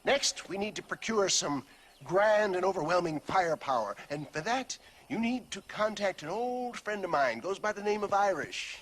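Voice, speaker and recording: A man's voice: high-pitched, animated, moderate pace, fairly bright tone. An actor playing a florid, genteel scheming salesman in a western game, pompous and wordy. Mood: smooth, theatrical. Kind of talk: animated western game acting.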